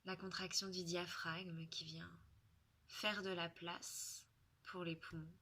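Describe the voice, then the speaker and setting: A woman guides a relaxation at a very slow, soothing pace, leaving long pauses. Her voice sits slightly low yet is clearly bright, with soft, breathy endings to her phrases. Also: level tone.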